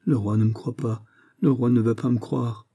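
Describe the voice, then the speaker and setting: A man's voice: medium pitch, fairly even intonation, normal speed, mellow, dry recording, lightly acted. A man voicing a desperate young maiden of the royal court in an audiobook, pleading passionately with breathless exclamations. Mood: despairing.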